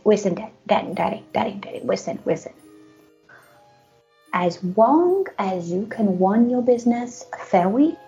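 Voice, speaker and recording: A woman's voice: medium pitch, warm tone. A woman playing a sickly, poor young boy who stammers and rambles naively in a comedic audio play. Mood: pleading, earnest. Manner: stammering, repeats words, rambling. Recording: dull lo-fi audio with music.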